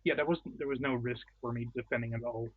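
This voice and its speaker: A husky-voiced man joining a card game stream remotely. He thinks aloud and stops and restarts his sentences. His voice comes through a dry, tinny, phone-like line. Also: mid-range voice, steady tone, fast talker, dry recording. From outside the United States. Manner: thoughtful, hesitant restarts. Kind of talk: casual post-game chat. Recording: dry, tinny call-quality audio.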